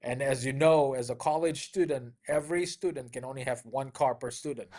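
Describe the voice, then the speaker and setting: A man's voice: medium pitch, brisk, a little mellow. A middle-aged man from Malaysia, a car dealership owner, telling stories in an upbeat, conversational interview style with frequent "you know" fillers.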